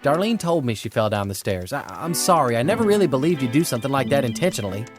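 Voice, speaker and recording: An actor playing a contrite, persuasive suitor in an audio drama, plain-spoken and conciliatory. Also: male voice, mid-range voice, very animated, fast talker, lightly acted. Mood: apologetic. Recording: music behind the voice.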